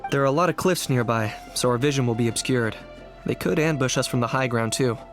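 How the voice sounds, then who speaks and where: A man acts as a level-headed young warrior teammate in a video game. His mid-range voice is measured and careful, with a touch of sternness. Prominent music plays behind him. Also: medium pitch, natural voice. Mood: calm, cautious. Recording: loud game music underneath. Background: loud background music.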